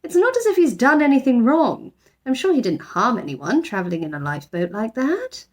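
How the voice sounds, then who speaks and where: A woman's voice: a little high, sing-song, fairly quick, voice pushed up. A woman voicing a kindly, persuasive middle-aged mother in a storybook, speaking earnestly and with emphasis. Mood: pleading, firm.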